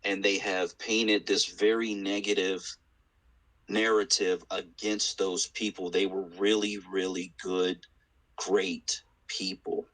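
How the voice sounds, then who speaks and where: Man, lowish bright voice, very slow and thoughtful. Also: level tone, poor audio. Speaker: discussion participant.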